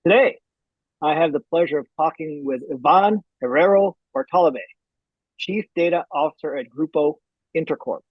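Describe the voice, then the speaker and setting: A man's voice: a little high, moderate pace, no room sound, lo-fi sound. A man hosting an interview series over a video call, friendly and prone to repeating words. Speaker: interview host.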